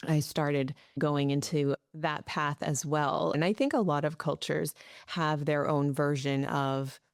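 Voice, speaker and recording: A woman's voice: sits low, little pitch movement, a little slow, no echo, clean studio sound. A woman from the United States hosting a podcast interview, talking through ideas thoughtfully. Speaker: podcast host. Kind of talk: casual interview chat.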